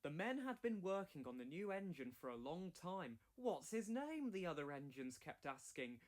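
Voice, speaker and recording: Guy reading a kids storybook out loud, voice a bit on the high side. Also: expressive, normal speed. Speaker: story narrator. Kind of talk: scripted children's storybook narration.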